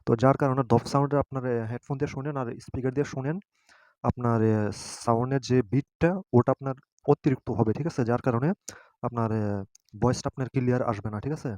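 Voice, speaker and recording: Dark-voiced man giving a tutorial. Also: medium pitch, steady tone, fast, no room sound. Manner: repeatedly adds a checking tag question. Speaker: tutorial host. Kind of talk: casual screen-guided app tutorial.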